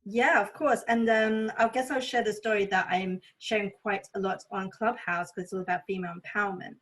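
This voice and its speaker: A woman's voice: mid-range voice, steady tone, a little fast, a bit bright. A woman from Thailand speaking openly and reflectively as an interview guest, in a conversational way sprinkled with "you know". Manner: open, conversational, often saying "you know". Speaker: interview guest, spiritual coach and healer. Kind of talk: candid, reflective personal interview talk.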